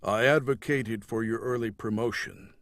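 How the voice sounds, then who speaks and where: A man voicing a stern, unforgiving duke and army commander in an audiobook, speaking formally and deliberately. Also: mid-range voice, fairly even intonation, a bit bright, no echo, studio quality, close to an everyday voice. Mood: stern, bitter. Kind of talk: audiobook character dialogue.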